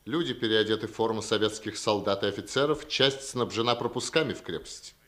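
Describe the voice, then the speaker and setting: An actor in a 1950s radio play voicing a smug, efficient German intelligence officer who reports crisply and deferentially. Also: male voice, lowish voice, brisk, fairly bright tone, lightly acted. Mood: businesslike. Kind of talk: 1950s Soviet radio theatre acting.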